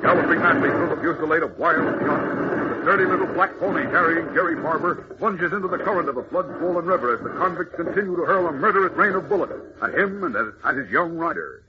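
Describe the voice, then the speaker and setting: A man's voice: a little high, animated, fast talker. A man narrating an old radio adventure serial in a dramatic, urgent, theatrical way, on a muffled, noisy recording. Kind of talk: 1940s radio scripted narration.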